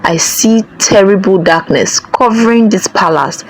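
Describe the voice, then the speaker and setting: A woman's voice: medium pitch, unhurried, voice pushed up, lightly acted, poor audio. A woman voicing a grave male chief priest who solemnly warns of coming danger. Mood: ominous. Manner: ominous, solemn warnings.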